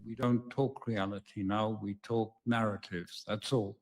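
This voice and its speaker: A man giving political commentary as an interview guest, heard quietly as original audio under a dub. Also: lowish voice, fairly even intonation, slow pace.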